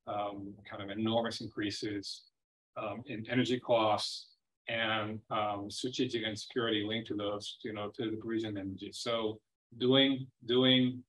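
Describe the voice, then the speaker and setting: A man speaking as a company chairman on a web earnings call, presenting semi-formally off the cuff, haltingly, often repeating and rephrasing his words. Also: lowish voice. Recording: dull, lo-fi web call audio.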